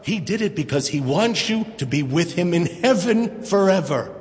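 An actor playing a fervent revival preacher, delivering a solemn, pleading sermon in a declamatory way. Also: male voice, high voice, animated, moderate pace. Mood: urgent appeal. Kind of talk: evangelistic sermon. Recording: long church-hall reverb.

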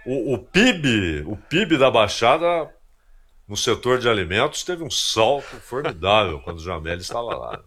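A man with a Brazilian accent giving casual, anecdotal sports commentary in a halting, conversational way. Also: mid-range voice, big pitch swings, a bit bright, husky.

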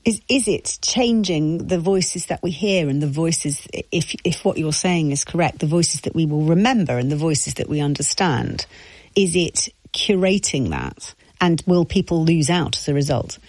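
A woman's voice: sits low, animated, normal speed, darkish tone, no room sound. A woman, a radio interviewer putting probing, pointed questions to a guest.

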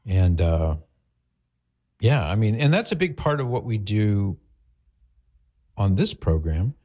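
A man hosting a call-in radio show, speaking in a measured way and rephrasing the caller's points back to him. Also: lowish voice, very animated, dark tone. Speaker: radio host.

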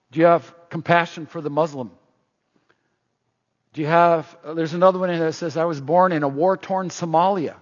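A middle-aged man preaching a sermon in a conversational way, often putting questions to the congregation. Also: a little high. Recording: dull, reverberant hall sound.

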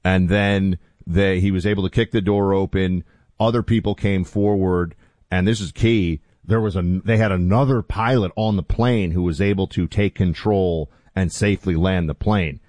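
Male talk radio host, dry studio sound, gets heated and indignant fast. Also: steady tone, a little mellow, dry recording. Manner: heated, indignant, voice climbing high when outraged.

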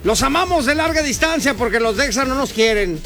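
A man's voice: very high voice, lively intonation, fairly quick, sharp tone, soft background music. A man with a Mexican accent hosting a radio show with playful, jokey, exclamatory banter.

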